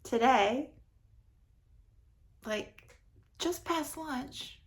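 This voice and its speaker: A woman's voice: high-pitched, extremely slow. A woman giving a casual, effusive book review as a vlogger, often repeating words for emphasis.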